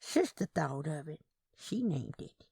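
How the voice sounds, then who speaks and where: A woman's voice: sits low, very animated, measured pace, vocal fry, mellow, slightly rough, no room sound, clean studio sound, exaggerated voice. A woman voicing a dainty old Southern aunt in a story, speaking coyly and delicately. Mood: coy, tender. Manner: coy, delicate.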